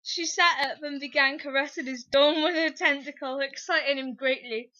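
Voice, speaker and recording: Casting: female narrator for comic fan-fiction dramatic reading, high bright voice, theatrical storytelling, slightly muffled lo-fi sound. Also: fairly even intonation, moderate pace.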